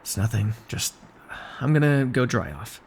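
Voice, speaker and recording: A man's voice: medium pitch, expressive, moderate pace, a little hiss or hum, natural voice. A man playing a bossy but caring vampire in an ASMR roleplay, teasing and wry, speaking naturally with small hesitations. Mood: wry, casual. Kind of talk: ASMR roleplay, one-sided dramatic dialogue.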